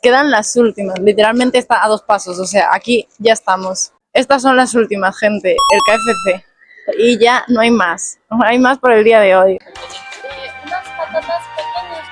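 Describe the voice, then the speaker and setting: A young woman with a Spain Spanish accent, half hoarse, chatting casually while reviewing food for her vlog. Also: on the high side, music in the background. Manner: chatty, playful, quick asides. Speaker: food vlogger. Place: fast food restaurants and the street outside.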